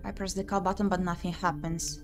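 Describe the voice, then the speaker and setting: A woman's voice: lowish voice, little pitch movement, a little fast. A woman streaming a visual novel playthrough, reading game text aloud expressively and muttering short asides to herself. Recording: music playing behind the voice.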